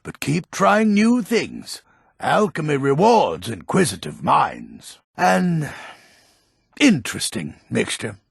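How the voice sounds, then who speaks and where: A gruff old fantasy game villager, selling potions like a true curmudgeon. His voice is heavily gravelled and very husky. He takes his time over the words, at an unhurried pace. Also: on the high side, no echo, studio quality, exaggerated voice. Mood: approving. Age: older. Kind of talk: video game character lines.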